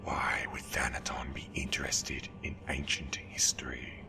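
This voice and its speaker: Casting: sardonic sci-fi dark lord, menacing and impatient, bottomless whispery voice, loud score underneath. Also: male voice, very deep voice, little pitch movement, music in the background. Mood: cold, impatient. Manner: slow-burning threats, sardonic. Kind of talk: fan audio drama acting.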